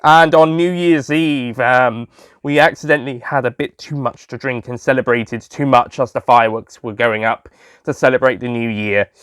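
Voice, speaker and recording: A man's voice: medium pitch, fairly even intonation, normal speed, a bit bright, smooth, no room sound, clean studio sound, close to an everyday voice. A man playing a theatrical, sentimental sweet-shop storyteller. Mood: fond, reminiscing. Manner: theatrical, chuckling, fond storyteller. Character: the Doctor, jolly sentimental male sweet-shop owner, storytelling. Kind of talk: first-person dramatic audio storytelling.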